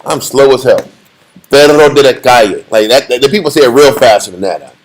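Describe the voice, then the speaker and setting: A man's voice: medium pitch, lively intonation, a little fast, poor audio. A man leading a casual Bible study in a blunt, joking, slangy way.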